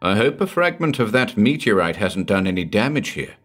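A man's voice: on the low side, sing-song, moderate pace, fairly bright tone, faint music behind. A man voicing an alarmed male companion who speaks urgently. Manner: urgent, exclamatory.

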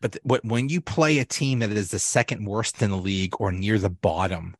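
A man hosting a sports podcast in casual chat, reacting with drawn-out exclamations. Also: fairly low pitch, no echo. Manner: slow, lively intonation, reacts with drawn-out exclamations. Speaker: podcast host.

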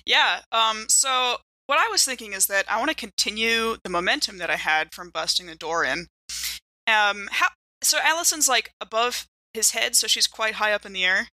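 A woman's voice: a little high, normal speed, bright, forceful tone, no echo, rough recording. A woman playing a tabletop role-playing game, thinking aloud and describing her moves in casual, like-peppered speech. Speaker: player in an actual-play podcast.

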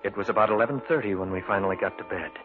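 Actor as radio thriller lead and narrator: uneasy man, lowish voice, vintage muffled sound. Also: rapid-fire, natural voice. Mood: hushed, ominous. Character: uneasy man recounting his eerie encounter. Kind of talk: 1950s radio suspense narration and acting. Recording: muffled vintage radio, loud music behind.

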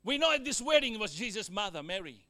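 High, bright-voiced Fijian preacher. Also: male voice, big pitch swings, fast. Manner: exhorting, with frequent amens and call-outs to the congregation; joking asides, wide swings from loud to quiet. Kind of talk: sermon.

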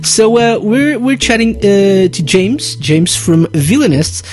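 A male podcast host with a fairly high, lively voice that swings up and down. He chats loosely and laughs easily between questions. Music plays clearly behind him. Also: takes it slowly. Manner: giggly, chatty, lots of fillers. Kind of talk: casual podcast interview.

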